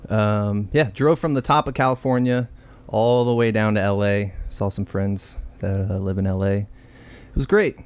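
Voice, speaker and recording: A young man from Texas talking in a low, unhurried register as he co-hosts a casual podcast. He rambles through a story with plenty of "uh" and "um" and drops swear words freely, in an easygoing, loose manner. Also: fairly even intonation, darkish tone, clean tone. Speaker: podcast co-host. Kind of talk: casual chat.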